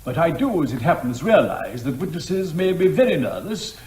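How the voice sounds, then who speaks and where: Man acting a testy judge, lively intonation. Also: on the high side, moderate pace, echoey room, slight background noise. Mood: stern, impatient. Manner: curt, sarcastic, authoritative. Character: testy, dry, sardonic male county court judge. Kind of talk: comic courtroom drama.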